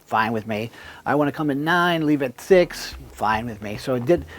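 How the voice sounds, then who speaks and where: A man's voice: mid-range voice, moderate pace. Middle aged guy from cuba, easygoing, lots of you knows, medium voice. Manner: relaxed, many fillers and restarts. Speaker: business owner, guest. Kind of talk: conversational storytelling.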